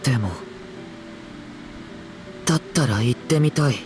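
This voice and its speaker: A gentle young boy hero acted by a man speaks softly and earnestly, with quiet resolve. The voice has a middling pitch and an anime game feel, with faint music underneath. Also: brisk, natural voice. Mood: pensive, resolute. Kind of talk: Japanese anime game acting.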